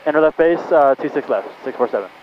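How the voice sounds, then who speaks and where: Quick-talking male pilot on the radio. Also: fairly high pitch, animated. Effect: aviation radio. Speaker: pilot making radio calls. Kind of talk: radio phraseology, somewhat hesitant. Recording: muffled aircraft radio with engine noise.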